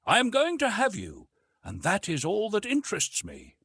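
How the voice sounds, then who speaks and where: A man's voice: sits high, huge pitch swings, normal speed, pushed and bright, breathy edge, no echo, studio quality, voice pushed up, full character voice. Man playing a blunt, cynical, domineering suitor. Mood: cynical, smug. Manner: blunt, condescending.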